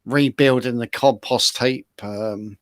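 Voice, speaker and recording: A man's voice: medium pitch, fairly even intonation, slow pace, no echo, studio quality. A man from England, chatting casually and rambling along as a co-host.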